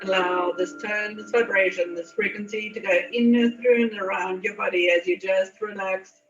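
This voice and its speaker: A woman leading a guided meditation in a soothing, unhurried manner with long pauses. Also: medium pitch, fairly even intonation. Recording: muffled, echoey, distant, music behind. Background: meditation music.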